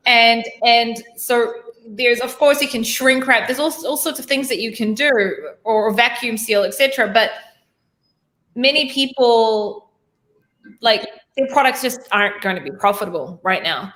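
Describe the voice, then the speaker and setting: A chatty woman from New hosts a casual interview. She rambles and corrects herself as she goes, in a slightly high voice. The room around her sounds echoey. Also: moderate pace, a bit bright. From New Zealand. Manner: talkative, rambling, self-correcting. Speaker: host.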